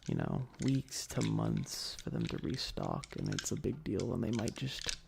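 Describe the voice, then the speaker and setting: A soft-spoken, partly whispering ASMR streamer chatting casually and playfully with viewers into a close microphone, often repeating phrases. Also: mid-range voice, wildly swooping pitch, unhurried, gravelly, noisy background. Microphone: close adjustable microphone.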